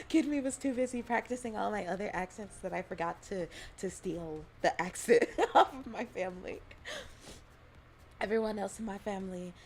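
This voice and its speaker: Young woman, giggly, rambling, casual stream chat, mid-pitched voice, faint room noise. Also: animated, normal speed, a little mellow. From Alabama, US South. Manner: giggly, laughs often and rambles.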